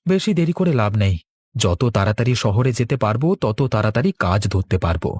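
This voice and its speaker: A man's voice: on the low side, lively intonation, brisk, a little mellow, no echo, studio quality. A man playing an earnest, resolute young village farmer, a dutiful son, in a cartoon. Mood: resigned, dutiful. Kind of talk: Bengali cartoon dialogue.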